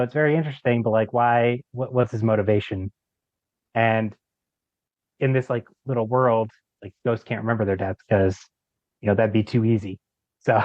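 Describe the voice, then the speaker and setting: A man's voice: medium pitch, no room sound. A man chatting casually as a podcast guest, informal and a little hesitant, with light amusement. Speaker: audio drama creator, podcast guest. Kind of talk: casual chat. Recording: slightly dull lo-fi microphone sound.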